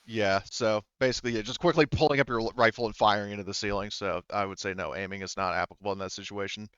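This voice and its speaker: A man with a low, smooth voice acts as game master of a tabletop roleplaying game. He explains in a halting, self-correcting way. The recording is dry and slightly dull. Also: fairly even intonation, fairly bright tone, dry recording.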